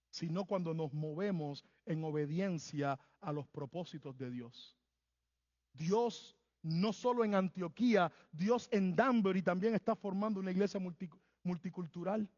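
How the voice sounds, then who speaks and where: A man's voice: high voice, darkish tone. A man preaching a sermon as pastor of a church, earnest and emphatic, repeating phrases to drive his points home. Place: church sanctuary. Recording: long hall reverb, dull lo-fi sound.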